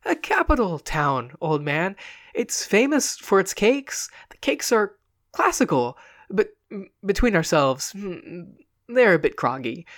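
A woman's voice: medium pitch, big pitch swings, unhurried, pitched above the performer's own voice. A woman voicing a flustered, stammering male comic actor in a storybook reading. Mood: soothing. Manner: stammering, exasperated. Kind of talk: dramatic audiobook reading.

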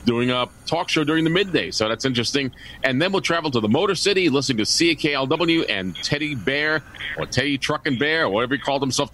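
A man co-hosts a radio show in a bright, forceful voice. He talks quickly and rambles, correcting himself as he goes, with faint noise on the recording. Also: medium pitch. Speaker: co-host. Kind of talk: casual chat previewing a radio show.

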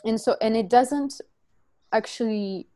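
Woman, from France, workshop facilitator, halting, frequent restarts, explaining slowly. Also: a little high, warm tone. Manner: halting, many restarts and self-corrections. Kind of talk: teaching a group, reading from notes.

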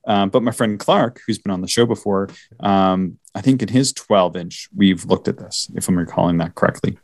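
A man with a very low voice talking casually about the night sky, wandering through his thoughts with lots of fillers and restarts. The recording is close and dry, with no room sound. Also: normal speed, darkish tone, no echo. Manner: rambling, hesitant, many fillers and restarts. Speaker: podcast co-host. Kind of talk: casual astronomy chat.

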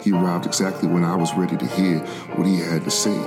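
A man's voice: low-pitched, flat delivery, quick pace, loud music behind the voice, natural voice. A man playing a devout, formerly enslaved preacher of the 1700s, telling his spiritual struggle in solemn, reflective first-person narration. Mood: earnest, reflective. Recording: loud musical backing.

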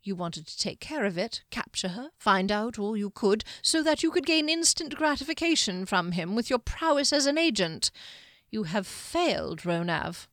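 A woman's voice: mid-range voice, expressive, dry recording, studio quality, pitched above the performer's own voice, natural voice. A woman voicing a smug, menacing wizard. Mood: cold. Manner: slow, smug, quietly menacing. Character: sly, mocking male wizard, calm and menacing.

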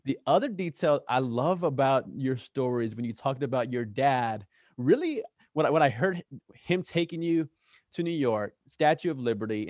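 An enthusiastic man hosts a podcast interview at a middle pitch. He talks with eager energy and often doubles words as he works toward a point. The sound is dry and close, with no room behind him. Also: no echo. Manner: enthusiastic, repeats words when excited. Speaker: podcast host.

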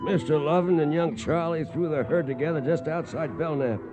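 A man's voice: a little high, moderate pace, close to an everyday voice. A man acting a cowboy who narrates a cattle drive in a scripted western radio drama. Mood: matter-of-fact. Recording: muffled radio broadcast with music behind.

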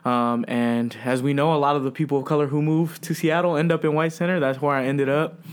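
A man talking casually about growing up, in a relaxed and joking way. He laughs easily and keeps things light. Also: a little high, fairly quick, clear, resonant tone. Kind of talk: casual chat.

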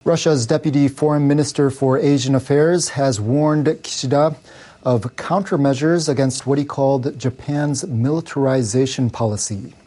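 A man's voice: medium pitch, warm tone. A man reading scripted international news for radio as a newsreader. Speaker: news reader.

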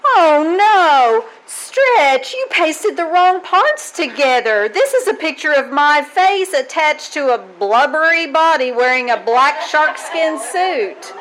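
A woman playing a sardonic high school teacher with a dry wisecracking delivery in an old-time radio comedy. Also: expressive, takes it slowly, hardly any pauses, a bit bright, heavily acted, low-quality recording. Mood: dismayed. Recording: live stage recording with background noise.

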